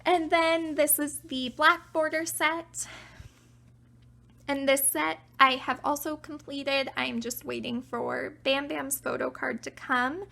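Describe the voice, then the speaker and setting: A young woman casually talking through her collection, chatty and self-deprecating, her voice getting raspy from talking a while. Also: high voice, fairly even intonation, slow, sharp tone. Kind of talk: casual show-and-tell narration.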